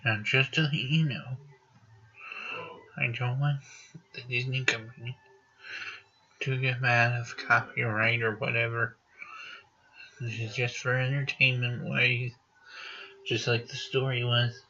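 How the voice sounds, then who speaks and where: A man's voice: medium pitch, flat delivery, unhurried, pauses often, sharp tone. A man giving a casual, unpolished spoken disclaimer. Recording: dull, distant audio with faint noise.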